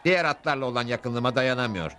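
Easygoing cartoon cowboy, male actor, medium pitch, brisk and wry, music underneath. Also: noisy background, natural voice. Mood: calm, wry. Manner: calm, wry. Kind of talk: Turkish cartoon dub acting.

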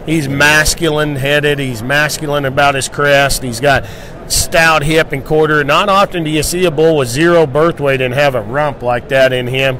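A man's voice: a little high, steady tone, normal speed, bright, forceful tone. A middle-aged man hosting a casual promotional livestock talk into a handheld microphone. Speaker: livestock show host. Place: indoor convention hall booth.

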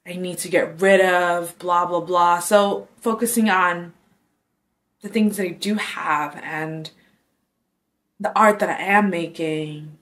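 A woman in her twenties from Taiwan, a dancer, speaking softly and thoughtfully in an interview with some halting pauses. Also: lowish voice, steady tone. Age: 20s. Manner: soft-spoken, thoughtful, halting. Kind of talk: interview testimony. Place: dark studio indoors.

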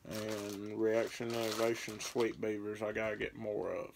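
A man's voice: on the low side, flat delivery, moderate pace, creaky, noisy background. A young man, casually rambling through his fishing baits for a vlog, filmed on a phone in a small bedroom. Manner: rambling, laid-back, listing baits as he handles them. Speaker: fishing vlogger. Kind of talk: casual tackle show-and-tell. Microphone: phone camera at arm's length. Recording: crinkling plastic bait bags behind the voice.

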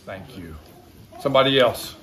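A man's voice: medium pitch, animated, normal speed. A man hosting an informal church gathering in a barn, telling stories in a joking, folksy way. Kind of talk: informal storytelling to a congregation. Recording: echoey, noisy room with loud crowd. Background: crowd and noise.

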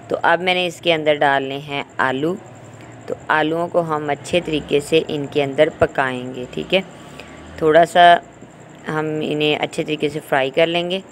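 A woman giving an informal, instructional recipe voice-over as a cooking host. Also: low-pitched. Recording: home recording with clear background noise.

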